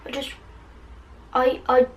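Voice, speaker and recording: A woman voicing a worried, devoted, stubbornly caring teenage girl witch from a story, softly and hesitantly. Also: slow pace, natural voice. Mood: worried, insistent.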